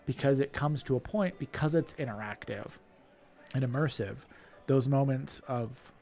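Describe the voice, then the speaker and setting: A man co-hosting a podcast, telling animated stories about playing characters at a festival and laughing often. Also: mid-range voice, moderate pace. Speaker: podcast co-host and festival performer. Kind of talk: casual podcast chat.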